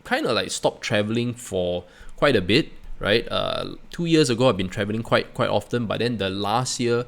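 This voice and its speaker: A middle-aged Singaporean-accented man speaks in a lowish voice with plenty of fry. He reflects casually as a channel host, stumbling over repeated words and tagging his phrases with small check-in questions. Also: a bit bright. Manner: halting, repeats words, often tags phrases with "right?" and "you know". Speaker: host of a personal finance channel.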